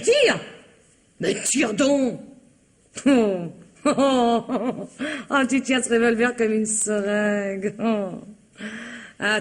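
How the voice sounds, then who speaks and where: An actress playing Eva, a taunting, contemptuous wife in a vintage French radio drama, with a husky, mocking delivery. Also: female voice, mid-range voice.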